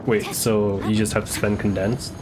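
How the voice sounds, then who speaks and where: A man's voice: on the low side, little pitch movement, normal speed, background noise. A young man streaming a game with casual chat, often repeating short phrases as he plays. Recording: game music behind voice. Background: video game music and effects.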